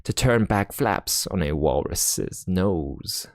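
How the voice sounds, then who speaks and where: A man's voice: sits low, expressive, slow, dry recording, clean studio sound, exaggerated voice. A man voicing a jaunty, boastful storybook penguin sailor, theatrically singing comic sea songs.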